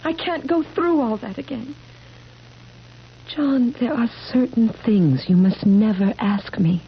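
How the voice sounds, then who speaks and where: A woman's voice: fairly high pitch, measured pace, breathy edge, heavily acted. A woman acting a haunted, defiant and ageless wife in an old-time radio drama, with a theatrical, emphatic delivery. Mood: grieving, bitter. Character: haunted, defiant ageless wife who looks over thirty. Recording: muffled, noisy old radio broadcast.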